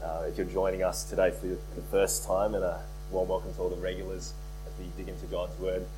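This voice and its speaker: Male pastor, lowish voice, hoarse with a cold, earnest sermon delivery that repeats key phrases, faint mains hum. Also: completely flat delivery, a little fast. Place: church.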